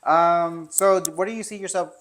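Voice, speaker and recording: Woman, Texas accent, podcast host, casual chat, relaxed, agreeable. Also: fairly low pitch, moderate pace, slight background noise.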